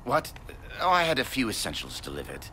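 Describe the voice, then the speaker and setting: An actor playing an eccentric, absent-minded scientist in a video game, obsessive and unbothered, with a distracted, halting delivery. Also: male voice, mid-range voice, huge pitch swings, sharp tone, a little hiss or hum, full character voice. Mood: sheepish, breezy.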